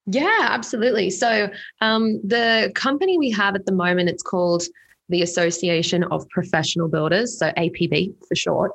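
A woman's voice: mid-range voice, normal speed, vocal fry, a bit bright, husky. A young woman from England who now speaks with an Australian accent, telling her story as a guest in a casual interview. She talks in a chatty way, with lots of fillers and repeated words. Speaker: company co-founder, guest.

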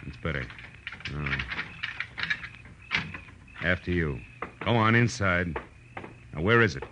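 A man's voice: low-pitched, animated, takes it slowly, highs cut off, noisy background. A man acting a tough, wisecracking private detective with an American accent, sardonic and slangy in 1940s radio noir style. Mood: tough, pressing. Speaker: lead actor and narrator. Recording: vintage radio recording.

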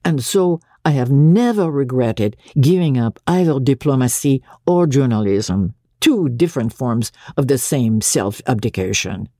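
Young tutor for literary audiobook, played by a woman: lively intonation, soft mellow tone, earnest and courteous. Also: extremely low pitch, measured pace, hardly any pauses, no echo, studio quality, heavily acted. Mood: ardent. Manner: earnest, philosophising, polite. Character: eager, impecunious young Frenchman, an intellectual private tutor.

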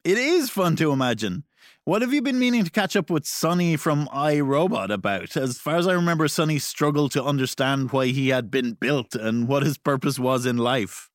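A man's voice: a little high, expressive, normal speed, no room sound, studio quality. A man hosting a podcast, interviewing in a casual, playful style. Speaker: podcast host.

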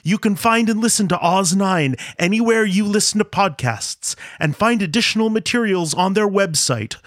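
A man's voice: on the high side, fairly bright tone, no room sound, clean studio sound. A man reading scripted sponsor messages and show announcements as a podcast host. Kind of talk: scripted promotional read. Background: music.

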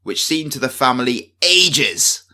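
Bright-voiced man with a Manchester accent. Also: medium pitch, lively intonation, unhurried. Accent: Manchester English. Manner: self-deprecating, rambling with restarts and laughs. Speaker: podcast co-host. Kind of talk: casual chat.

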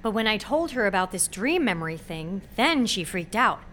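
A woman's voice: mid-range voice, big pitch swings, normal speed, a bit bright. Brief: actress as intense young woman, twenties, wry and guarded, naturalistic audio drama, faint ambient noise. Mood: earnest, guarded.

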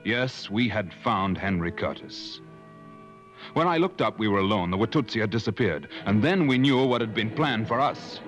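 Low-voiced male adventurer played by a man, earnest and measured storyteller for an old radio drama, music beneath. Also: animated, lo-fi sound, natural voice. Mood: grave, suspenseful. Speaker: narrator and character in a radio play. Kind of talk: 1950s radio drama acting.

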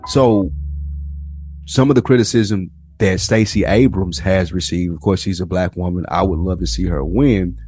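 A man from the United States co-hosting a casual podcast, explaining his views in a laid-back, deliberate way. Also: sits low, a little mellow, soft background music. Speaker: podcast co-host. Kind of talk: casual conversational podcast discussion.

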